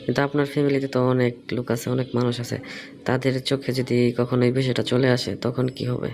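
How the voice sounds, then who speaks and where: Male, mid-pitched, brisk and probing, casual phone chat, slightly noisy recording. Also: level tone, a little mellow. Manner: probing, questioning at length. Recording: phone call recording with faint noise.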